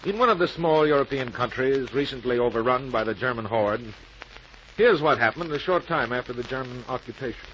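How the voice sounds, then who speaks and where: A man narrates a 1940s radio programme in an earnest, rhetorical style. His voice is mid-pitched, on a muffled old recording with clear noise. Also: animated, brisk, a bit bright.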